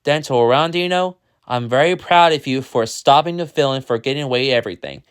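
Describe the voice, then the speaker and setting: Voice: medium pitch, fairly quick, clear, resonant tone, natural voice. A storyteller voicing a proud, approving king in a storybook.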